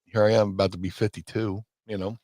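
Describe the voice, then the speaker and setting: A man's voice: on the low side, little pitch movement, fast talker, darkish tone, no room sound. A man, co-hosting a casual, profane chat and rambling with restarts. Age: 50s. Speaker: co-host.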